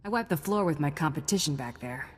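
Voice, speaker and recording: A swaggering, cold-blooded female bounty hunter from a video game. She speaks tersely and dryly in a very low, husky voice, with long reverb around it. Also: lively intonation, fast. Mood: cocky, dry. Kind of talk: scripted game character acting. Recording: long reverb, faint music.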